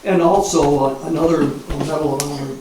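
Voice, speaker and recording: An American man in his seventies, a war veteran reminiscing informally in a halting, rambling way with frequent pauses. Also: on the high side, fairly even intonation, darkish tone. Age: 70s. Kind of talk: informal reminiscence at a public talk. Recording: echoey, distant mic, clear background noise.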